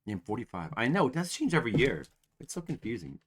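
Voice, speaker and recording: A man's voice: medium pitch, big pitch swings, quick pace, breathy edge. A man in his forties, a game streamer, chatting casually and ramblingly to his viewers at home, with self-mocking humor. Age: 40s.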